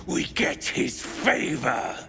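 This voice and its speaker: Gravelly, slow monstrous guard beast. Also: male voice, medium pitch, huge pitch swings, music in the background, exaggerated voice. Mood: threatening. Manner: halting, broken simple phrases. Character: snarling monstrous guard beast, servile to its master. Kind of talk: video game acting.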